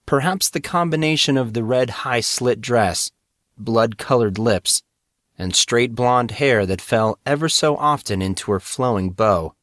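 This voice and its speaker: A man's voice: mid-range voice, lively intonation, unhurried, a bit bright, no echo, clean studio sound. A man reading a scripted story as an audiobook narrator. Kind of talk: scripted fiction narration with acted dialogue.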